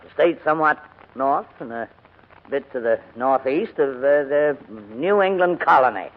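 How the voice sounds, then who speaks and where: An actor playing a proud, cantankerous elderly English gentleman in a wordy, pompous 1940s radio drama style. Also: mid-range voice, lively intonation, normal speed, close to an everyday voice. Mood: stubborn, huffy. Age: older. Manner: pompous, wordy, indignant, hesitating. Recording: dull vintage radio broadcast recording.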